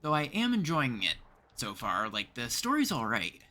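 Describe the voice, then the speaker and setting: Bright-voiced male game streamer. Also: mid-range voice, huge pitch swings, moderate pace. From Canada. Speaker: game livestreamer. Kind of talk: casual gameplay commentary, chatting with viewers. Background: game music.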